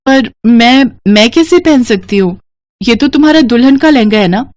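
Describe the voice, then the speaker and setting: A woman storyteller gives voice to a poor, modest young village woman in a moral tale. She speaks in a raised pitch, polite and meek, with some hesitancy. The recording is close and dry. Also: expressive, moderate pace, darkish tone, no room sound, lightly acted. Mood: hesitant.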